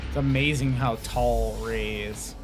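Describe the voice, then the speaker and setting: A man's voice: medium pitch, fairly even intonation, unhurried. A man giving casual, hesitant, rambling commentary on a game playthrough. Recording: loud game music behind voice. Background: game audio and music.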